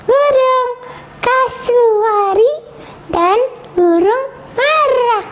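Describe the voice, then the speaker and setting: A young girl telling a simple story in a halting, sing-song way. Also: very high voice. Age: child. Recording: dull lo-fi sound, faint noise.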